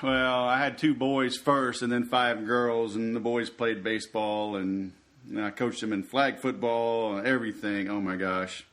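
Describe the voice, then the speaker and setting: A man's voice: medium pitch, steady tone, unhurried, fairly bright tone. Joking laid-back male podcast host. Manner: joking, laid-back, says "dude" and "man". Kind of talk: casual interview chat.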